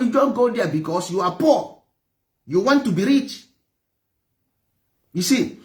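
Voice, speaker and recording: A man's voice: high-pitched, darkish tone. A man with an Igbo Nigerian accent and Igbo as his first language, preaching and lecturing with passion, stressing points through repetition and rhetorical questions. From Nigeria, Igboland. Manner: emphatic, repeats phrases, rhetorical questions. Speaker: traditional religion teacher.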